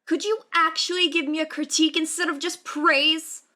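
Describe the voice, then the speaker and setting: Exasperated teen girl kendo student, tomboy, indignant whine; very high, bright and piercing, a woman plays her. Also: steady tone, normal speed. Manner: whiny, indignant protests. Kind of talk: fan audio drama acting.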